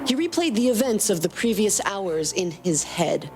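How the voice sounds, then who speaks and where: Woman, story narrator and podcast co-host, medium pitch, animated intonation, scripted delivery. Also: normal speed, over music.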